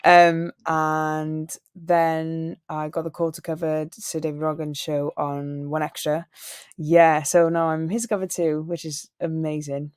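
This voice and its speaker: This young woman talks in a low, deep register with a dry, close sound. She tells stories in a relaxed way and acts out other people's lines. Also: unhurried, clean tone, no echo. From Wales. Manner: animated storyteller, acts out quoted people. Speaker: singer and radio presenter, interview guest. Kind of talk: relaxed interview conversation.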